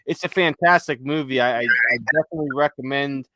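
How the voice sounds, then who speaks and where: A man's voice: mid-range voice, brisk, pushed and bright, no room sound. A man co-hosting a podcast, enthusiastically recommending things in a casual chat and repeating phrases for emphasis. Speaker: podcast co-host.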